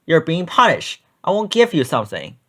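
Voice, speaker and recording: A man's voice: on the high side, wildly swooping pitch, normal speed, sharp tone, heavily acted. A man reading as a cheeky young boy from a storybook, the class clown who loves to boss others around. The voice is raised and swings up and down with plenty of bounce. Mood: bossy.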